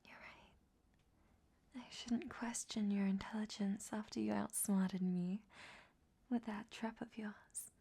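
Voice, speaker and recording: A woman playing a flirtatious, teasing captive vampiress in a breathy, sultry ASMR roleplay whisper. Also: mid-range voice, steady tone, slow, long pauses, warm tone, full character voice. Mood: admiring, smug.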